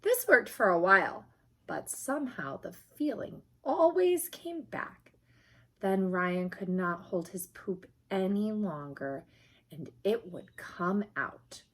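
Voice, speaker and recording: Slow, expressive, slightly husky woman storyteller. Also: medium pitch. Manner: expressive, emphatic storytelling with whispers and exclamations. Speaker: read-aloud storyteller for young children. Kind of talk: children's picture-book read-aloud.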